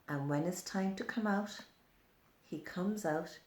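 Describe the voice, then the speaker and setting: A woman's voice: on the low side, slow, a little mellow. A woman reading and explaining a story for young children in simple, repetitive phrases with questions. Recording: roomy, echoing indoor sound.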